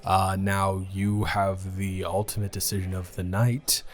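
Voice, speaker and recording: A man playing a smitten young man in an improvised romantic audio roleplay, speaking to his casual lover in a hesitant, earnest, halting way. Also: low-pitched, completely flat delivery. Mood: tender, playful.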